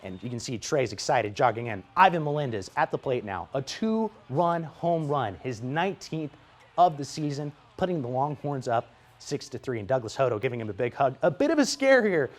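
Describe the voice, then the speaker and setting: An American man delivers a scripted sportscast as a reporter. His voice sits at a medium pitch, and he calls highlights with energy, in a play-by-play manner. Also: very animated, moderate pace.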